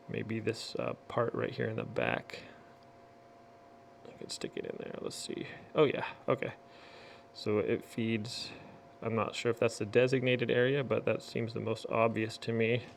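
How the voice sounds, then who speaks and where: A man's voice: on the low side, creaky, slight background noise. A man hosting a casual product unboxing, chatting in a folksy way and muttering to himself as he works. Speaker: unboxing video host.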